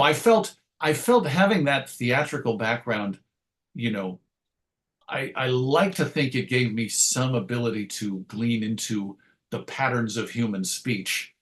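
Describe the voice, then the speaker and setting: Male interview guest, writer type: conversational, thoughtful and wordy, with hesitant phrasing and a medium-pitched voice. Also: expressive, a little slow. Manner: reflective, wordy, hesitant phrasing with self-corrections and wry asides. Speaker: interview guest, comics writer and radio host.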